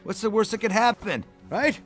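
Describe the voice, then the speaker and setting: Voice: mid-range voice, animated, normal speed, soft background music, close to an everyday voice. An actor playing a cocky, eager young fox hero in a cartoon, brash and breezy.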